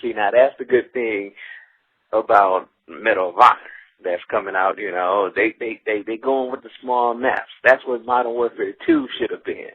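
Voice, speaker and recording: A man's voice: lowish voice, normal speed, husky. A man leaving a rambling voicemail, stammering and repeating words, saying "you know" often, on an old answering machine tape. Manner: repeats words when excited, says "you know" a lot. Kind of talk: rambling phone message. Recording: muffled phone answering machine.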